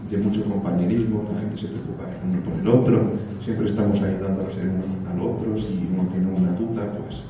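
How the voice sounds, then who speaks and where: A man's voice: deep voice, fairly even intonation, a little fast, few pauses. A man student speaking animatedly and emphatically in an interview. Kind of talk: testimonial interview. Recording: echoey room from far, noisy.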